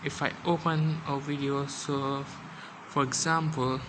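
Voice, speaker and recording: Video host for an app walkthrough, unhurried and halting, with repeated phrases, on a noisy homemade recording. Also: medium pitch, little pitch movement. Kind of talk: app review and tutorial narration.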